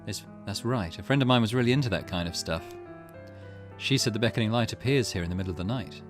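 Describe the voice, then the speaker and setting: A man's voice: on the low side, quick pace, music in the background, close to an everyday voice. A man voicing a wary, reserved young protagonist of a mystery game, hesitant and understated.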